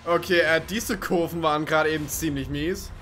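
A man's voice: a little high, steady tone, normal speed, fairly bright tone, a little hiss or hum. A man giving casual, rambling, sweary let's play commentary over racing game sounds. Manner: rambling, sweary, joking to himself. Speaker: gaming video commentator.

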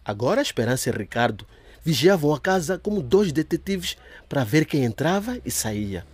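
A man narrating a scripted audio drama in a lively storytelling manner. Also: a little high, moderate pace, breathy edge, dry recording.

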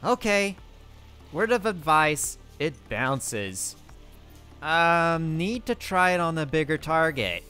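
A man voicing his character in a survival game roleplay, excitably thinking aloud to himself. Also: on the high side, slow pace, faint music behind.

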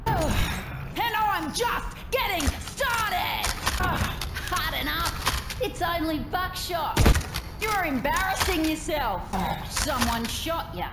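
A woman's voice: very high-pitched, big pitch swings, slow, heavily acted. An actress with an Australian accent playing a brash, taunting warrior queen in a video game, cocky and mocking. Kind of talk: video game hero voice lines. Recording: echoey, loud game music behind.

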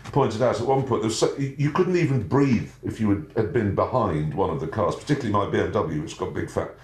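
A man's voice: on the low side, lively intonation, fast talker. A man, a presenter chatting casually in a small office, telling stories with wry emphasis. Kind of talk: casual chat. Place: indoors, small cluttered office. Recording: roomy with faint hiss.

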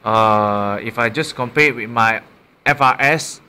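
A man's voice: medium pitch, unhurried, bright, forceful tone, clean tone. A man with a Malaysian accent casually showing a gadget, unscripted, often repeating and correcting himself. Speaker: amateur product reviewer. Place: small room indoors at a desk. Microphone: built-in mic of a handheld camera.

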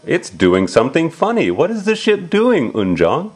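A man's voice: fairly high pitch, huge pitch swings, moderate pace, slightly rough. A man reading a picture book aloud to a young child, asking simple questions and exclaiming as he explains.

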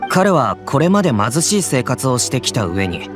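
An actor playing a stern, businesslike official in a video game, speaking formally and with indignation. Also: male voice, mid-range voice, sing-song, normal speed, music in the background, close to an everyday voice. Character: stern official hunting a fugitive.

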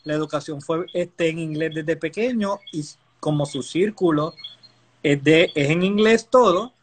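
A middle-aged Puerto Rican man hosts a casual live chat in a fairly high voice. He is on a phone video call with some faint noise and clicks. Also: a little slow. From Puerto Rico. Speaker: host, interviewer. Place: indoor living room. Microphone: phone at arm's length, video call.

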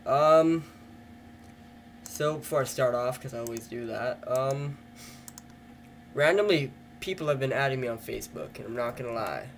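A man's voice: medium pitch, lively intonation, moderate pace, pauses often, a little hiss or hum. A teenage boy from Canada, chatting casually and self-mockingly into a webcam in a small wood-paneled room. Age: teens. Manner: rambling, self-mocking, jokey. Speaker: vlogger. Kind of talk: casual joking webcam vlog. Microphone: webcam at desk.

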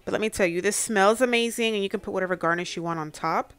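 A woman's voice: medium pitch, quick pace, a bit bright, clear, resonant tone. A young American woman talking casually and informally as she narrates a home cooking demonstration. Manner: chatty, informal. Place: home kitchen, indoors.

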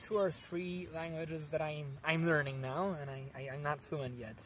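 A man in his thirties from Poland with a Polish accent, answering interview questions in a park with lively gestures and many restarts. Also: on the high side, normal speed, a little hiss or hum, rough recording. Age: 30s. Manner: animated, gesturing, frequent restarts. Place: outdoors in a sunny park.

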